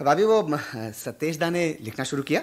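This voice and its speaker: A man playing a friendly, teasing younger family friend in a Hindi radio drama, with warm, familiar manner. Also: a little high, lively intonation, moderate pace. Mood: friendly, hesitant. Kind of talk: radio play acting.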